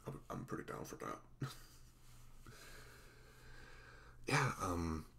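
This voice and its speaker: Man acting very deep-voiced, flustered sweet boyfriend. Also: wildly swooping pitch, gravelly, exaggerated voice. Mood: shy, eager. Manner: stammering, halting, apologetic, breaking into soft nervous murmurs. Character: sweet, goofy, flustered young boyfriend, nervously tender. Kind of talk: intimate ASMR roleplay audio.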